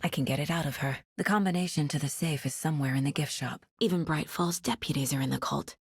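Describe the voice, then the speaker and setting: An actress playing a determined woman detective in a video game, speaking in a serious, focused, terse way with a soft, husky voice. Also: sits low, fast, no echo, studio quality. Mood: focused, resolute. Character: determined woman detective investigating supernatural cult murders. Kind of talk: video game character lines, investigative inner monologue.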